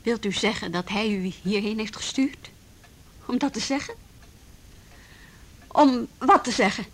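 A woman's voice: on the high side, a little slow. An actress playing a proud, defiant young policewoman in a Dutch radio play, indignant and pointed yet formally polite.

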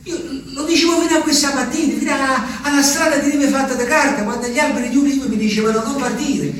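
A man's voice: very high-pitched, fairly even intonation, fairly bright tone, slight background noise. Haunted peasant man, echoing monologue. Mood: bewildered. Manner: halting, emphatic, repetitive storytelling with dramatic swells. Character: simple, haunted Abruzzese peasant man recalling his abandoned wife. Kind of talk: theatrical monologue for radio drama. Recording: very echoey, distant stage sound with music.

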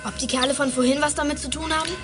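A woman's voice: high voice, steady tone, rapid-fire, fairly bright tone, soft background music. A woman playing a curious, sceptical young girl sleuth in a German children's audio drama.

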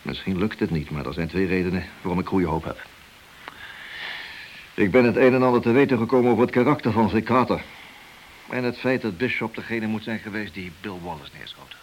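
An actor playing a wily senior police detective in a radio play, leisurely and needling behind a friendly front. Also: male voice, on the low side, a little fast, close to an everyday voice, low-quality recording. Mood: calm, commanding. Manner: slyly affable, leisurely, needling. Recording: hissy old radio play recording.

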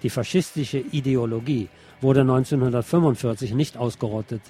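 A man's voice: mid-range voice, moderate pace, mellow, husky, faint music behind. A man presenting a radio magazine, reading scripted news commentary aloud.

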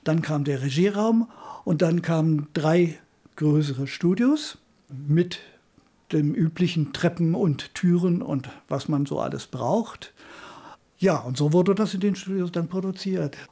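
An older man with a husky voice reminisces informally, as in an interview, about his working life in radio. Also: on the high side, animated, measured pace, mellow. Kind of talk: interview reminiscence, spontaneous storytelling.

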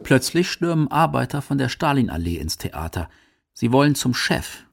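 A man's voice: medium pitch, very animated, moderate pace, vocal fry, slightly rough. A man reading scripted narration for a radio documentary.

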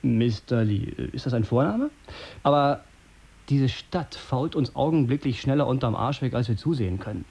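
A man's voice: medium pitch, animated, moderate pace, dark tone, husky. A condescending middle-aged editor in a radio drama. He lectures like someone in charge, pompous and sarcastic, with little patience for whoever is in front of him. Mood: smug, sardonic. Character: condescending, self-important male radio editor and family patriarch. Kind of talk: German radio drama acting.

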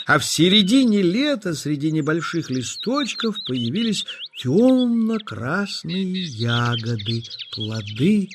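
A man narrating a scripted children's story in a gentle, expressive storyteller's manner. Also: on the high side, background noise.

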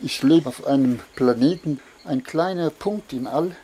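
A man giving a reflective personal statement in elevated wording, pausing deliberately to search for words. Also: a little high, mellow, faint music behind, poor audio. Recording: faint background noise and ambience.

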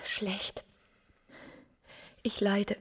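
An actress playing an unhappy, yearning young provincial wife in a German radio drama, brooding and often hushed. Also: female voice, mid-range voice, monotone, very slow, husky, no room sound, heavily acted. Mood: bitter, weary.

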